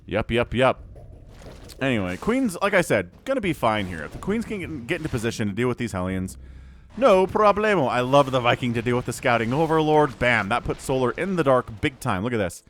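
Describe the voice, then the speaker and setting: Excitable male esports caster. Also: medium pitch, brisk, a little hiss or hum. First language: English. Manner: excitable, jokey, talks to himself. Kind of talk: solo play-by-play commentary.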